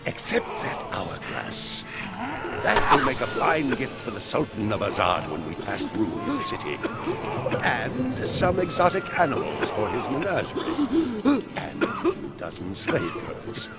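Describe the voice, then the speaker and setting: A man's voice: mid-range voice, huge pitch swings, a little slow. An actor playing a hearty, greedy, boastful Persian warrior king in a video game, with grandiose, theatrical proclamations. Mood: gloating, commanding. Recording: loud music behind. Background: loud game score music.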